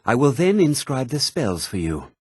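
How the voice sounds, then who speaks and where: An actor playing a learned mage of a magic college in a fantasy video game, speaking in a formal, deliberate, articulate way. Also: male voice, medium pitch, big pitch swings, breathy edge, dry recording, clean studio sound. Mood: solemn, instructive. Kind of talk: scripted fantasy game dialogue.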